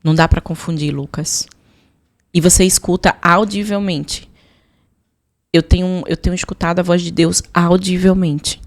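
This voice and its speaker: Low-pitched Brazilian woman giving testimony. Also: steady tone, moderate pace. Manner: emphatic storytelling, repeating phrases and acting out prayers. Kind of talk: personal testimony in a podcast conversation.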